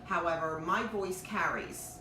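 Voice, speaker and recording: A middle-aged woman with a loud, deep, low-pitched voice hosts a chatty, rambling cooking livestream. She talks from a distance in an echoey home kitchen. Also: unhurried, noisy background. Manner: chatty, rambling, thanks viewers often. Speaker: cooking show host. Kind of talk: live-streamed cooking demo chat.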